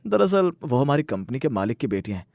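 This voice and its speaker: A man's voice: mid-range voice, sing-song, brisk, gravelly, darkish tone, dry recording, studio quality. A man voicing an earnest young working son in a cartoon moral story, direct and familiar with his family. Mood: cheerful, frank. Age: young adult. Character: earnest young working sons, protective of their mother. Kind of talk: scripted cartoon story dialogue.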